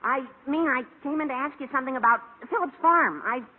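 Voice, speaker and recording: A man playing an eager, scheming teenage schoolboy with excitable earnestness in a vintage radio sitcom. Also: very high voice, a little fast. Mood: flustered. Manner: excitable, gee-whiz earnestness. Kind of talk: 1940s-50s radio sitcom acting. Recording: noisy, tinny vintage radio recording.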